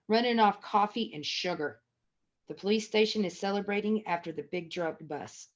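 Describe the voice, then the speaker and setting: A woman reading stage directions as the narrator of a screenplay table read. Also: mid-range voice. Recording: dull, lo-fi audio.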